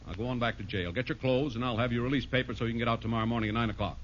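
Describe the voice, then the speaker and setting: An actor playing a stern, formal judge with an authoritative manner in a vintage radio sitcom. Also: male voice, mid-range voice, steady tone, racing, lightly acted. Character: stern, formal night court judge, a man. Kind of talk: 1940s radio sitcom acting. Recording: muffled, noisy vintage radio recording.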